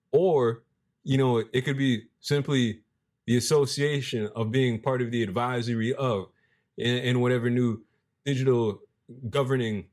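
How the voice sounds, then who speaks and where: A young man with a deep voice giving casual, animated commentary as a crypto video host, reading passages aloud and using slang. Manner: animated, hype-driven, street slang, restarts mid-phrase.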